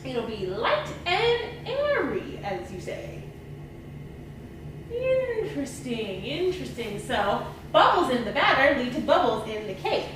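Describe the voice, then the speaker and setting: A woman voicing a curious, excitable old scientist puppet, musing aloud and repeating words in a children's puppet show. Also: high-pitched, a little slow. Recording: echoey room, distant mic, noisy.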